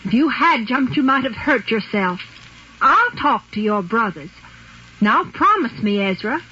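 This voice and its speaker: A woman's voice: a little high, big pitch swings, measured pace, pushed and bright, breathy edge, full character voice. An actress playing a stern, insistent mother scolding her young son in a 1950s radio drama. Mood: stern, pleading. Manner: insistent, repeating demands. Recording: noisy, dull 1950s radio recording.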